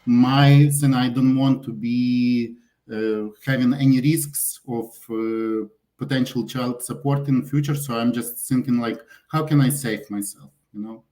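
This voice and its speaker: A man's voice: medium pitch, fairly even intonation, a little mellow. Male caller, 30s, immigrant, hesitant and polite. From immigrant to Canada. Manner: hesitant, halting speech with many uhs. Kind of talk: live call-in question.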